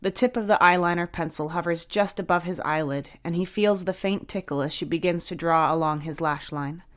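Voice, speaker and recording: A woman's voice: on the low side, a little fast. A woman reading scripted fiction aloud as the narrator of a short story.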